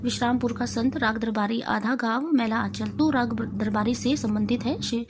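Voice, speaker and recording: A woman teacher reads out exam revision questions, answers and lists, reciting deliberately item by item. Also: a little high, steady tone. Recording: clearly noisy amateur recording.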